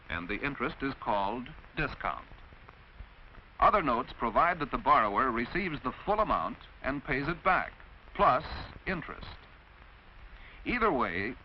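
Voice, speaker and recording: An American man narrates in the manner of a mid-century instructional film. His medium-pitched voice moves with lively intonation as he explains in a measured, carefully enunciated, didactic way. The old film soundtrack is dull, with faint noise.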